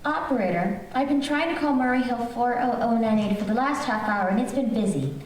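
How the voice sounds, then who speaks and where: A woman's voice: fairly high pitch, expressive, fairly quick, lightly acted. Woman playing a fretful caller, very echoey. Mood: impatient. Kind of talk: old-time radio suspense acting. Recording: very echoey theater, distant microphone, slight noise.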